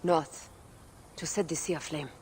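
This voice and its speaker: A young warrior wife in a game cutscene. Her voice sits low and soft, and she speaks with quiet earnestness. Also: fairly even intonation, unhurried, slight background noise. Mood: tender. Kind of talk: video game cutscene acting.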